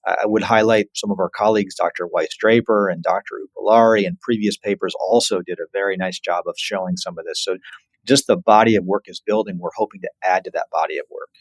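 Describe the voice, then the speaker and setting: A man with a fairly low voice speaks as an expert interview guest. He explains in an informal, plain-worded way, recorded dry with hardly any room sound. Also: steady tone, fast, dry recording, poor audio. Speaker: surgical oncologist, podcast guest.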